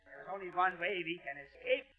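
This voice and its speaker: A hysterical sailor, extremely high-pitched. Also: male voice, lively intonation, brisk, soft background music. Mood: frantic incitement. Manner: frantic, insistent, repetitive. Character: hysterical, doom-crying mutinous submarine crewman. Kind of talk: 1940s radio acting. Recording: old dull radio recording.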